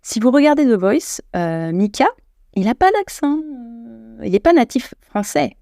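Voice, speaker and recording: A woman's voice: fairly high pitch, sing-song, unhurried, dark tone, no room sound, clean studio sound. A French woman hosting her own podcast, chatting at length, often correcting herself and searching for words. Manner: chatty, self-deprecating, many restarts and self-corrections. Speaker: podcast host. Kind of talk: solo podcast monologue.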